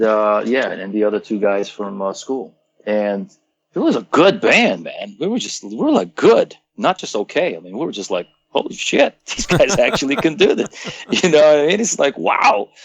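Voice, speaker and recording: A man's voice: medium pitch, creaky, lo-fi sound. A man from Croatia, a rock singer in his fifties, telling stories from his youth in a casual interview and acting out what people said. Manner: animated storyteller, swearing, acting out quotes.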